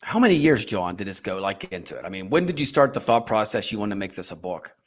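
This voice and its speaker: A man in his fifties hosting a casual book talk show, energetic and folksy, with many fillers. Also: fairly low pitch, quick pace. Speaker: host. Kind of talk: casual chat.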